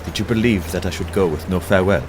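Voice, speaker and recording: A man playing a gentle, courteous, lovestruck German professor in an audio play, with a German accent and formal, halting phrasing. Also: sits low, noisy background, close to an everyday voice.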